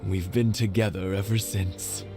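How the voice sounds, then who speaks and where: Sentimental old husband, music behind. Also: male voice, deep voice, steady tone, a little slow. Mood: tender reminiscing. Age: older, 70s. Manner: hesitant, reminiscing. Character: sentimental old village husband, playful, long married. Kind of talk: video game voice acting. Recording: loud game music behind.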